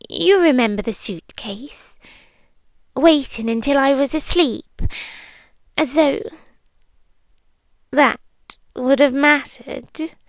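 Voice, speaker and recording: Casting: woman as obsessive yandere monster girlfriend, British, high-pitched, unhurried, intimate ASMR delivery sinking into whispers. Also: dry recording. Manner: hushed, deliberate, dramatic pauses, slipping into whispers. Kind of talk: ASMR roleplay, intimate and slow.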